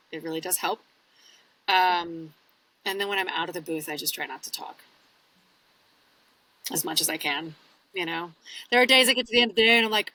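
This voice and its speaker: Bright-voiced woman telling animated stories. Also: mid-range voice, poor audio. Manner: animated, self-quoting storytelling. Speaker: guest audiobook narrator. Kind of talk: casual podcast interview chat.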